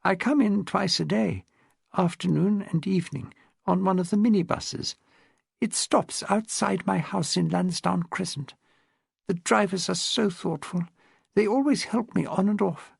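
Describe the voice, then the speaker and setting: A man voicing a frail, refined old woman over seventy who talks in a gently fussing, well-bred way. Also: high-pitched, unhurried, vocal fry, husky, no echo, clean studio sound, voice pushed up, heavily acted. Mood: chatty.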